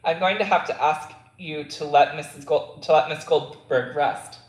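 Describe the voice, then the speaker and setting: A man reading the lines of a calm, gentle hospital nurse in his own voice. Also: fairly high pitch, normal speed, reverberant, natural voice, low-quality recording. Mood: polite. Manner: calm, polite.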